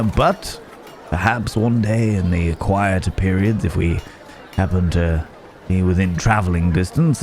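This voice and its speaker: An actor playing a lonely, courteous werewolf traveller in a fantasy ASMR roleplay, musing hesitantly in old-fashioned phrasing. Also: male voice, low voice, darkish tone, close to an everyday voice. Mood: fond, hopeful. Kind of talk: immersive ASMR roleplay, improvised-sounding monologue. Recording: outdoor market crowd noise behind voice. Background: bustling village market ambience.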